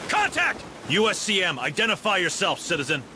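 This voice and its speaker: A wary, clipped Marine soldier. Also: male voice, high voice, wildly swooping pitch, a little fast, noisy background. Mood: urgent. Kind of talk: scripted game military dialogue.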